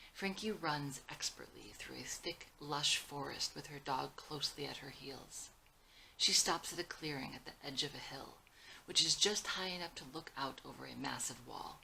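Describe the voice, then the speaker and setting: Low, bright-voiced woman reading a screenplay. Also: gravelly, slight background noise, rough recording. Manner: reads scene headings and stage directions. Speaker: screenwriter reading own script. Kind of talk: scripted screenplay narration.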